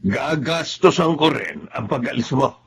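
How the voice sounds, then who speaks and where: Gruff, creaky old father. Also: medium pitch, expressive, unhurried, slight background noise. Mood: bossy, insistent. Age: older. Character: gruff, cynical old father and grandfather, nagging. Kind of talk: radio melodrama acting.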